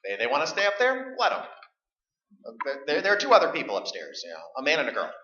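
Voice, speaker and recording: An actor playing a stubborn, defensive middle-aged husband in a staged radio play, arguing and stammering. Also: male voice, a little high, wildly swooping pitch, quick pace, bright, forceful tone, heavily acted. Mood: grumbling. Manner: stammering, argumentative. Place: live stage performance in a room. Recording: dull, echoey stage recording.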